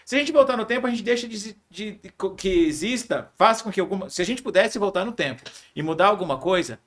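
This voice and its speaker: A talkative male podcast host with a slightly raised voice, who keeps chiming in with reflective asides. His delivery is relaxed and conversational, the easy rhythm of a casual studio chat. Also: high-pitched, quick pace. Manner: chatty, adds reflective side comments.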